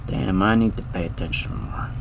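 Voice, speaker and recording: A man's voice: low voice, moderate pace, vocal fry, background noise. A man playing a lonely, wry, weary space garbage man in an audio drama, rambling in a folksy, reflective way. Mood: darkly amused.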